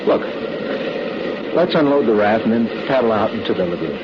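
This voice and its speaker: An actor playing a steady, determined castaway who takes charge in an old-time radio drama, speaking deliberately. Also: male voice, lowish voice, close to an everyday voice. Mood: intent. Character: steady, determined man stranded on a tropical island. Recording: noisy, muffled vintage radio recording.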